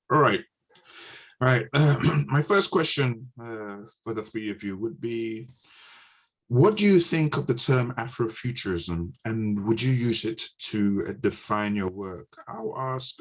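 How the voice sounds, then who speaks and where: Low-voiced Ghanaian-accented man moderating, dull audio. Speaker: panel moderator. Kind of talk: informal panel moderating. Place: online video panel.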